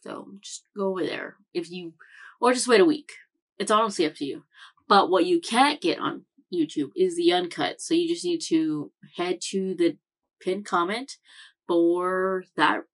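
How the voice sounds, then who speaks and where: A young woman hosting an anime reaction channel, rambling casually from a room at home, with lots of fillers and self-corrections. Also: fairly low pitch. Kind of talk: casual chat recap.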